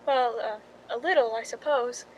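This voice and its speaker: Radio-drama stand-in actress, guilt-ridden and confessional, high-pitched, played by a woman, with faint background noise. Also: measured pace, close to an everyday voice, rough recording. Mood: nervous, guarded. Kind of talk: 1940s radio drama acting.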